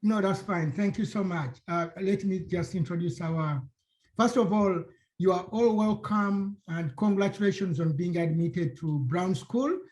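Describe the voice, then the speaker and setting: A man's voice: high voice, a little slow, a little mellow. A middle-aged man from Uganda with a Ugandan accent, introducing himself as a faculty panelist on a video call, with anecdotes and humor. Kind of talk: panel discussion, personal introduction.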